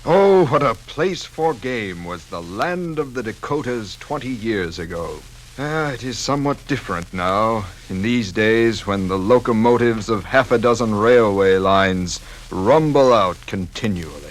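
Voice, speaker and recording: A man's voice: on the low side, expressive, unhurried, rough recording. An actor playing a wistful nineteenth-century sportsman, delivering a rhetorical lament in a 1950s radio dramatization. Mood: rueful lament. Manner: rhetorical, mournful. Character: wistful 19th-century sportsman-editor lamenting lost wilderness. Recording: hissy 1950s radio recording.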